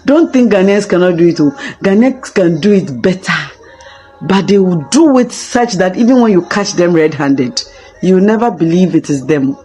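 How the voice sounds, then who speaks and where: A woman's voice: fairly low pitch, expressive, normal speed, warm tone, soft background music. A woman giving a casual, emphatic opinion monologue, repeating her points for effect.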